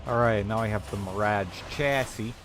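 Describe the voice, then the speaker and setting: A man's voice: on the low side, moderate pace, a bit bright, soft background music. A man giving casual, playful gameplay commentary as a streamer. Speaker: game streamer.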